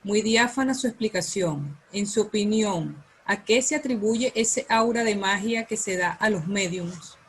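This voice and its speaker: A woman from Venezuela in the audience asking a speaker a quick, polite question. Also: mid-range voice, husky, low-quality recording. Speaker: audience member.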